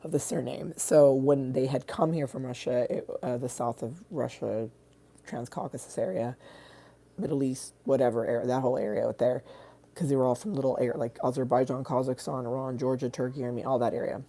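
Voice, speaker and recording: Artist host, woman, very deep and mellow voice, loose rambling livestream talk with frequent swearing. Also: brisk. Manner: rambling, candid, swears often. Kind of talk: casual livestream chat.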